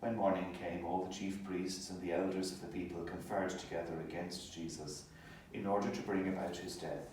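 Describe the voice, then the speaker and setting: A man reading a Gospel solemnly as narrator, in a measured, formal manner, as clergy do in worship. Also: deep voice, little pitch movement, darkish tone. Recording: echoey, distant, faint background noise. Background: passing hospital noise.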